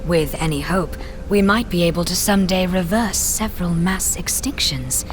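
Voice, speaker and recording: A woman's voice: lowish voice, background noise, close to an everyday voice. A woman playing a serene young nurse in a religious order in an audio drama, giving a polished, informative tour. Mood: proud, informative. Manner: polished, measured, informative tour-guide delivery. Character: serene young nun-nurse guiding visitors through laboratory. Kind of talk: scripted audio drama dialogue. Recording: music behind the voice.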